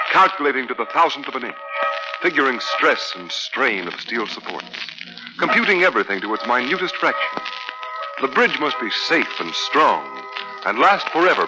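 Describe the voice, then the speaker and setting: A man as a 1940s radio announcer, narrating in a portentous, theatrical way with deliberate emphasis. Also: mid-range voice, low-quality recording. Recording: old 1940 radio recording with music.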